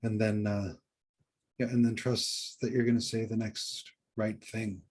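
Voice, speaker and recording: A man co-hosting a podcast, coaching casually in a halting way, circling back and checking for agreement. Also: fairly low pitch, level tone, darkish tone, slightly rough. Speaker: podcast co-host.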